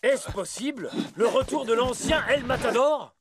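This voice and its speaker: A man playing an excitable football commentator in a cartoon, announcing the match dramatically. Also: extremely high pitch, measured pace, slight background noise. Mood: astonished.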